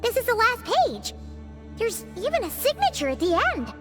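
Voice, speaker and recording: A woman voicing a tiny, excitable fairy companion in a video game, childlike, squeaky and chatty with a theatrical, exclamatory manner. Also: normal speed, exaggerated voice. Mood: intrigued, surprised. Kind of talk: English video game voice acting. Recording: music behind the voice.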